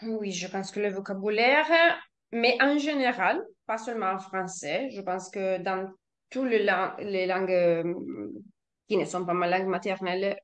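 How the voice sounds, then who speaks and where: A woman's voice: medium pitch, moderate pace. A woman with an Italian accent, a native Italian speaker talking in French as a learner, hesitant with frequent restarts and laughs. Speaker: language learner, guest. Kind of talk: casual conversation.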